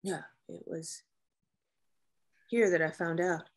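Woman as a slow, gravelly, guarded naval officer. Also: lowish voice, fairly even intonation. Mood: guarded, subdued. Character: masculine-presenting woman naval officer, grieving, guarded caretaker. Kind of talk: screenplay table reading.

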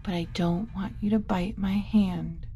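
Woman acting a slow, soft-voiced alien mother. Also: medium pitch, fairly even intonation, faint music behind, close to an everyday voice. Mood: tender, cooing. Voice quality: soft, often dropping to a whisper. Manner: gentle, cooing, hesitant muttering to herself. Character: kind, flustered alien mother cooing to a human. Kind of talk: soft-spoken ASMR roleplay.

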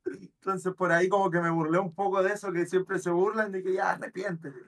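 A man's voice: high-pitched, level tone, brisk. A young man with a Chilean accent casually explaining his own rap lines over a video call. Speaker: battle rapper explaining his bars. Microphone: webcam on a video call.

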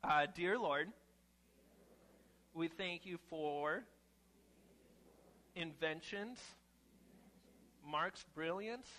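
A young man giving a playful, casual children's sermon in an animated, informal way, exclaiming as he goes. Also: a little high, drawn-out words, long pauses. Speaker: children's message leader at church. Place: church sanctuary, seated on chancel steps among children.

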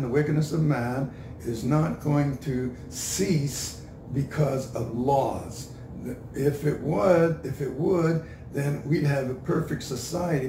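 An older Canadian man gives interview answers slowly and haltingly, circling back over his own phrases. His voice crackles with fry. He sounds set back from the microphone in a roomy indoor space. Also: on the high side. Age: older, 60s or above. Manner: halting, deliberate, repeats himself. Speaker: street preacher being interviewed. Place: indoor room with a couch. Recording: roomy, distant mic, noisy.

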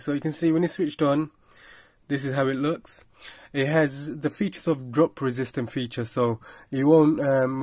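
A man's voice: medium pitch, no echo, clean studio sound. A man giving a casual product review in a plain-spoken, slightly hesitant explaining manner.

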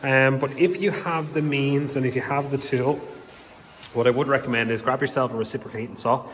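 A man's voice: medium pitch, fairly quick, slight background noise. A man in his thirties with an Irish accent, casually explaining a renovation job with frequent ums. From Ireland. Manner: relaxed, hesitant with frequent ums. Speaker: home renovation vlogger. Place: large empty room under renovation, indoors. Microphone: phone camera held in hand. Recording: reverberant bare room with faint ambience.

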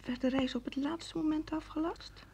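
An actress in a radio play playing a puzzled wife, intimate and gently questioning. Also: female voice, sits high, fairly even intonation, moderate pace, vocal fry. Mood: drowsy, soft. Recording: noisy old radio play recording.

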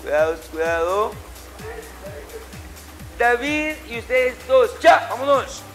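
A man's voice: extremely high pitch, sing-song, takes it slowly, over music. A middle-aged man, a martial arts instructor giving commanding, short, repeated orders and corrections to a class in a gym. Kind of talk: calling out drills and corrections in a class. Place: indoor martial arts gym with matted floor.

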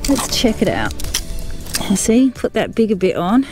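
A woman's voice: mid-range voice, big pitch swings, normal speed, music in the background. A woman giving a cheerful, chatty running commentary while fishing from a sand dune by a river, talking to the fish as she catches them, recorded on a body-worn action camera. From Australia. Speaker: fishing vlogger.

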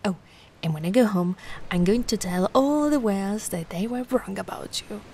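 A woman's voice: medium pitch, normal speed, darkish tone, slight background noise, natural voice. A woman from Italy playing a giant, affectionate, bubbly young mermaid in a cutesy, cooing ASMR roleplay. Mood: excited, tender. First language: Italian. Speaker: roleplay performer.

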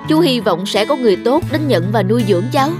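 An actor voicing a down-on-his-luck thief with a soft heart in an animated cartoon, troubled and soothing. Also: very high voice, fast talker. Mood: worried. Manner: troubled, soothing, speaking to a baby. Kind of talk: Vietnamese animated cartoon dialogue. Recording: music behind the voice.

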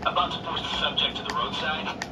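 Actors voicing gruff police officers barking terse radio chatter during a car chase in a game, heard from the TV speakers. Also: mid-range voice, level tone, brisk, reverberant, distant mic, natural voice. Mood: urgent. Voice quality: terse, tough cop talk over radio. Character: gruff pursuing police officers, mixed crew. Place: living room, TV speakers. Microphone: phone camera filming the TV. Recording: game audio played from a TV, filmed from across a noisy room.

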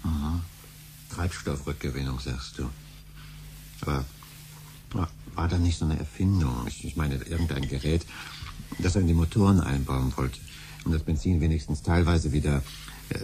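Deep-voiced impatient schemer. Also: male voice, normal speed, long pauses. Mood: pressing, curious. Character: Tom, impatient scheming husband and adoptive father. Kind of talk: 1970s German radio drama acting. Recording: slightly noisy 1970s radio recording with hum.

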